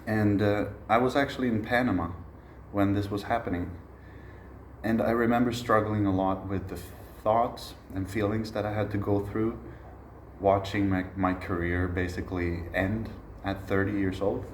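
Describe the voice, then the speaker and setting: A man in his thirties from Sweden, a musician giving a relaxed spoken masterclass with frequent pauses to gather his thoughts. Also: on the low side, echoey room, a little hiss or hum. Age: 30s. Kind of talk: informal spoken lecture.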